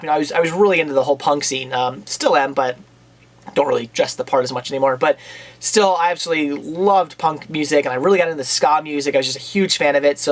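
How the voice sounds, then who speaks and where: A young man chatting casually and enthusiastically in a home vlog, talking fast with lots of fillers, his voice a bit worn from a coming cold. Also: fairly high pitch. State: losing his voice, getting a cold. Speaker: video blogger reviewing purchases.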